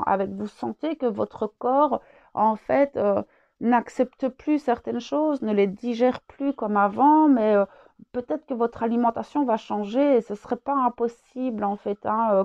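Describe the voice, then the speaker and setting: A woman's voice: a little high, highs cut off. A French woman with a head cold, channeling a spiritual message, hesitant with many fillers and repeated words.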